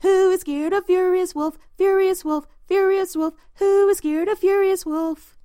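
A woman voicing small, cheeky little pigs in a storybook reading, high and singsong. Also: expressive, slow, warm tone, no echo, clean studio sound, full character voice.

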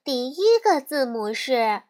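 A woman's voice: high voice, big pitch swings, slow pace, darkish tone, heavily acted. A woman voicing a bossy, boastful child who plays the teacher and gives orders. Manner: bossy, commanding.

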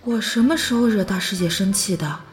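An actress voicing a timid, flustered young lover in an audio drama, bewildered and fretting as they protest innocence. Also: female voice, medium pitch, fairly quick, close to an everyday voice. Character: timid, flustered, anxious lovestruck young junior. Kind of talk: modern audio drama acting. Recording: music behind the voice.

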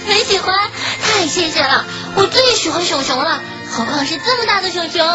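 A woman as a very high, girlish schoolgirl. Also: animated, fairly quick. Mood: delighted. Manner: cute, girlish, emotive. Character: sweet girlish schoolgirl, guileless best friend. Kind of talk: Chinese radio drama acting. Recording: dull lo-fi audio under clear music.

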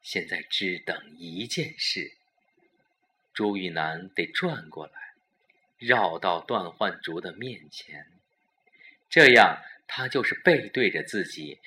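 Slow, low-voiced male suspense narrator. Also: animated, bright, forceful tone, a little hiss or hum. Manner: dramatic, deliberate storytelling. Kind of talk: scripted suspense audio drama narration.

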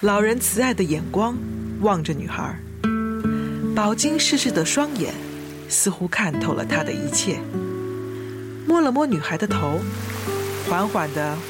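A woman narrates a radio drama in a medium-pitched voice. Her delivery is lyrical storytelling, with guitar music playing loudly behind her. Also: big pitch swings, measured pace, pauses often.

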